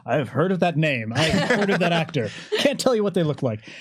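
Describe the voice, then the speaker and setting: Higher-voiced man telling animated anecdotes. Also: brisk, no echo, studio quality. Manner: animated storyteller who acts out imagined dialogue. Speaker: guest, game writer. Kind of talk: casual anecdotal chat.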